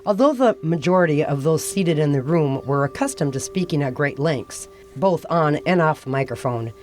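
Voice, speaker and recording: A low, composed mystery narrator. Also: female voice, animated, moderate pace, a little mellow, faint music behind. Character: composed female storyteller narrating a detective mystery. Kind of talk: scripted audio drama narration.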